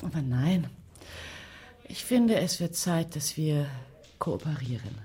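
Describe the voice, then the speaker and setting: An actress playing a cool, persistent investigator in a radio crime drama, speaking in a dry, insinuating way. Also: female voice, deep voice, expressive, takes it slowly, dark tone, slightly rough, heavily acted. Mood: coolly provocative. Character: cool, persistent female investigator, dryly provocative.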